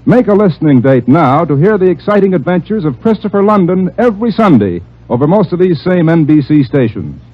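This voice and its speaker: A man's voice: a little high, very animated, brisk. A male announcer reading scripted promotion in the formal style of 1950s radio. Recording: dull old radio broadcast.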